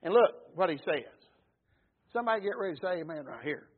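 A man preaches in a folksy, emphatic way, pausing to call for amens from the congregation. His voice sits high and sounds husky. The recording is thin and phone-like. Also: very animated. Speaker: pastor. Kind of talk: sermon.

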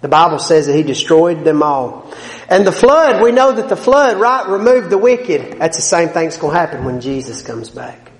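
A man's voice: fairly high pitch, very animated, normal speed. A man preaching a sermon, emphatic and folksy, his volume swelling and dropping between points. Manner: folksy, plain-spoken asides. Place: church sanctuary. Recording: echoey hall with long reverb.

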